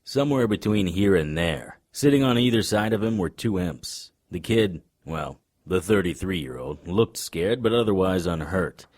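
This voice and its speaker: An actor playing a wry, unflappable occult detective, narrating an audio drama in a dry noir style. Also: male voice, low-pitched, animated, normal speed, hardly any pauses, a little mellow. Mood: wry, tense.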